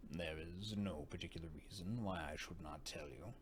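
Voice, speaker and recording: A man voicing a low, secretive genteel father. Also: moderate pace, lightly acted. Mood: confiding, measured. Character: secretive, genteel father, an older gentleman, amused and thoughtful. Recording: noticeable background noise.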